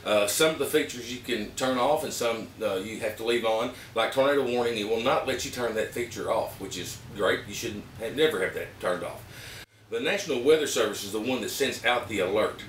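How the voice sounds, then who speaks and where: A man with an Arkansas Southern accent giving a casual, plain-spoken, halting product review to camera. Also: medium pitch, a bit bright. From Arkansas, United States. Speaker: vlogger reviewing emergency gear. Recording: roomy, distant mic with background noise.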